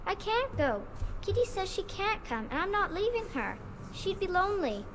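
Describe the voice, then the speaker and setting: A woman's voice: extremely high pitch, big pitch swings, moderate pace, noisy background. A woman voicing an innocent, cheerful little girl in a video game, with a naive, sing-song, childlike manner. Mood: stubborn. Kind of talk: video game character acting.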